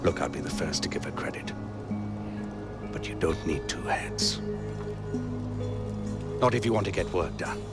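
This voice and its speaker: A man's voice: low voice, measured pace, pauses often, loud music behind the voice. A man playing a passionate, proud and persuasive rebel leader in a game cutscene.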